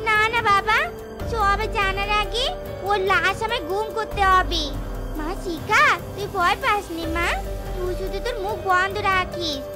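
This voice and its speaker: Very shrill, scolding cartoon aunt. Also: female voice, measured pace, over loud music. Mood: alarmed, scheming. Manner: scolding, sharp-tongued, dramatic. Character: cruel scheming shrewish aunt in a cartoon tale. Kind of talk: exaggerated cartoon voice acting.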